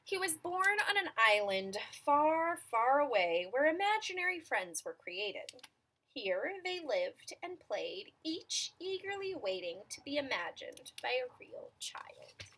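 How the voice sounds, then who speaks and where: A woman reading a children's picture book aloud in an expressive, dramatic manner, with asides to young listeners. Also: high-pitched, a little slow, poor audio. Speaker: storybook reader.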